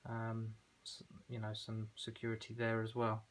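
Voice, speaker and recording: A man's voice: lowish voice, monotone, normal speed, poor audio. A man giving an informal product demonstration as an online seller, explaining in a conversational, slightly hesitant way.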